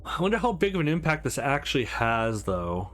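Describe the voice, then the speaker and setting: A man's voice: medium pitch, sing-song, brisk, a bit bright, faint music behind. A man reading a visual novel aloud as a let's play host, dropping in casual asides between the lines.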